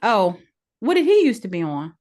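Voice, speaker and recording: A woman's voice: medium pitch, big pitch swings, normal speed, darkish tone. A woman in her forties co-hosting a podcast, talking in a frank, opinionated way and laughing easily. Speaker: podcast co-host. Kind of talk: casual chat.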